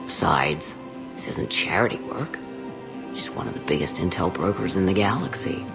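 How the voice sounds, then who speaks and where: An actress voicing a blunt, sardonic older female alien matriarch in a video game, gruff and unpolished. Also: extremely low pitch, animated, over music, heavily acted. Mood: blunt, defensive. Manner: blunt, sardonic, hesitant pauses. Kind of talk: scripted game dialogue.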